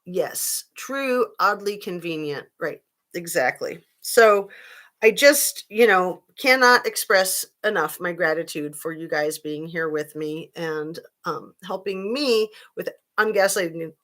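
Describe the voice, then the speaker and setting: A woman in her fifties with a slightly raspy voice, hosting a casual live-chat commentary stream and greeting viewers between her points, with many fillers. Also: lowish voice, expressive, slow pace, a bit bright. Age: 50s. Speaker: livestream host.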